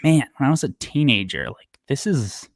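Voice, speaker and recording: A man's voice: medium pitch, expressive, normal speed, creaky, no room sound, clean studio sound. A man talking casually as a podcast guest, self-deprecating, with lots of fillers and restarts. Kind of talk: casual interview chat.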